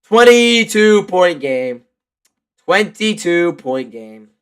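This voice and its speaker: A man with a stuffy, congested voice doing live basketball play-by-play with casual, excitable reactions. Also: high voice, slow, clean tone. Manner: excitable, shouts on big plays. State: congested from a cold. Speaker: live play-by-play commentator and streamer.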